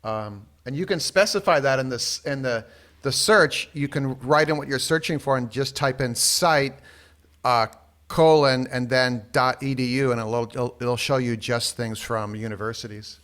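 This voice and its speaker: A man's voice: medium pitch, normal speed, fairly bright tone. A man hosting a podcast in casual chat, curious, asking questions and reading bits from the web. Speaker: podcast host.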